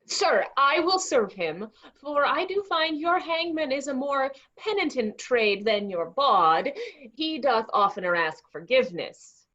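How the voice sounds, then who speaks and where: A high, slightly muffled voice gives us a wry Shakespearean clown a woman acting a male bawd. He is cheeky and mock-humble, addressing his betters with sly politeness. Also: animated, a little slow, a bit bright, full character voice. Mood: mock-earnest. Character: cheeky bawd turned eager hangman's helper, male clown. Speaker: actor in a staged reading. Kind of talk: Shakespearean comic stage reading.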